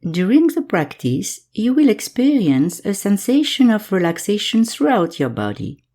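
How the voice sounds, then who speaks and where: A woman guiding a yoga nidra meditation in calm, measured instructions, leaving pauses between phrases. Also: mid-range voice. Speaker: meditation guide.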